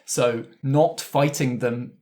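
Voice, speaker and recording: A man hosts a casual tabletop gaming discussion as game master. He talks at length, explaining and thinking aloud, with an average-pitched voice. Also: fairly even intonation, takes it slowly, darkish tone. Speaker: game master and podcast host.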